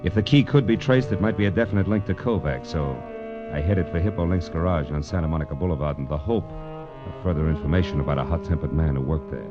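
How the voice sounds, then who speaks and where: An actor playing a wry, world-weary private detective in 1940s radio noir, with clipped, sardonic delivery. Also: male voice, deep voice, fast talker, natural voice. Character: hard-boiled male private detective, wry and cool. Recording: dull vintage radio recording with music.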